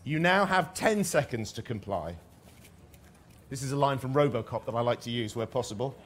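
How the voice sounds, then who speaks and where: A man's voice: mid-range voice, lively intonation, moderate pace. A man hosting a live science contest in front of an audience, with dry jokes and playful asides. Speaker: host. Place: large hall with audience.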